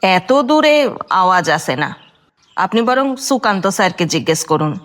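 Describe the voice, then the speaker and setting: A woman's voice: on the low side, very animated, a little slow, fairly bright tone. An actress playing an evasive woman under questioning in a radio drama, with a languid drawl.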